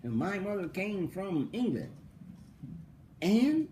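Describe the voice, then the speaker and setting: Male professor, lively swooping delivery, teasing rhetorical questions, emphatic repetition. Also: high voice, slow, slight background noise. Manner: animated, mocking rhetorical questions, frequent laughter; emphatic, repeats points for stress. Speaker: philosophy professor. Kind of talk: conversational interview answer.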